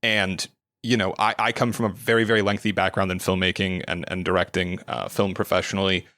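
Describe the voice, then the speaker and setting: Man guesting on a podcast, kinda low, earnest, repeats words, dry mic. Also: little pitch movement, fairly quick, pushed and bright, dry recording. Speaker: podcast guest, creative director. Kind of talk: casual podcast interview.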